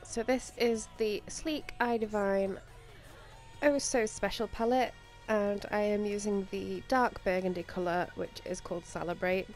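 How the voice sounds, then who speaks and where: A woman's voice: medium pitch, steady tone, unhurried, darkish tone. A young woman with a slightly stuffy voice from a cold, rambling casually through a makeup tutorial with plenty of ums and likes. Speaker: makeup vlogger. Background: faint music.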